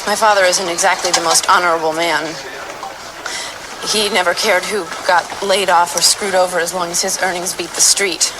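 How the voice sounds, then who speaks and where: A woman playing a miserable, grieving young woman in a crime drama, speaking in a halting, hesitant way. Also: fairly low pitch, steady tone, lightly acted. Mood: bitter sorrow. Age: young adult. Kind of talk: naturalistic crime drama acting. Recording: noisy location sound with ambience.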